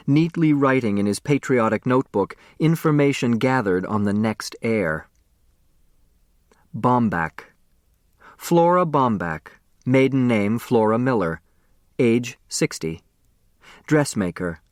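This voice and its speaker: A man's voice: medium pitch, no echo. Male audiobook narrator, dry close sound. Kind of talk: expressive scripted narration of a children's novel.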